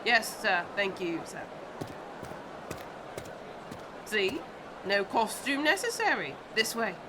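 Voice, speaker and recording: A woman's voice: mid-range voice, big pitch swings, a little slow, noisy background. A woman playing a confident Victorian London street girl, persuasive and mock-polite, in an audio drama. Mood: brisk.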